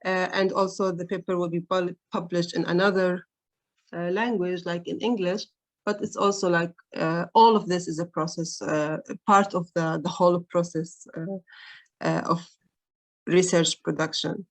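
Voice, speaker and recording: A woman's voice: fairly low pitch, steady tone, normal speed. Hesitant woman. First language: Arabic. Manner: hesitant, many fillers and restarts. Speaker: researcher on a panel. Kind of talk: conference roundtable remarks.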